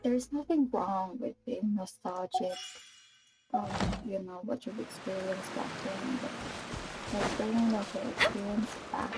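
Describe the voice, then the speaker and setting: A woman game streamer musing casually and haltingly on a live stream. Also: medium pitch, poor audio. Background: loud game music.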